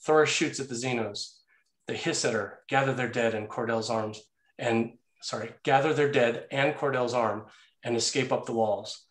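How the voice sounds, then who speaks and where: A man's voice: medium pitch, normal speed, fairly bright tone. A man narrating action and scene headings from a screenplay in a scripted read. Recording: roomy, echoey sound.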